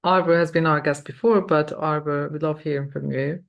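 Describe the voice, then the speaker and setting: Woman host, measured delivery, gravelly fry in the voice. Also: low-pitched, fairly even intonation, highs cut off. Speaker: podcast host. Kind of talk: scripted intro then conversational hosting.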